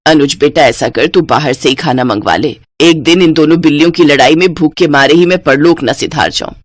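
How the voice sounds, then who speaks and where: Lady doing a low grumbly old mother-in-law, weary n dramatic, family storybook stuff, close dry sound. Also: fairly quick, dry recording. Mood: hungry grumbling. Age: older. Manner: grumbling, theatrical lamenting.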